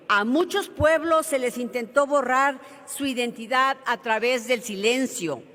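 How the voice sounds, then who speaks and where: A woman's voice: high-pitched, fairly bright tone. A woman senator from Mexico with a Mexican accent, giving a formal floor speech in an earnest, emphatic oratorical manner. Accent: Mexican Spanish. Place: large legislative chamber. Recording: reverberant legislative chamber.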